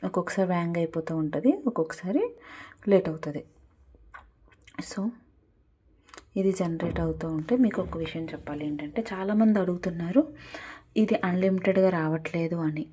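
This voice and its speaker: A woman's voice: on the low side, moderate pace, pauses often, mellow, slightly rough. A woman hosting a casual step-by-step tutorial, mixing in English words. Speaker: tutorial host.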